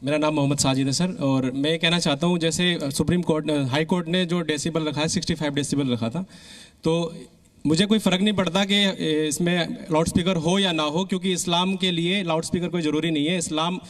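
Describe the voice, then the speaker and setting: A man's voice: a little high, fairly even intonation, brisk. A man speaking from a debate audience, hesitant and searching for his words. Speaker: audience member. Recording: echoey hall, distant microphone.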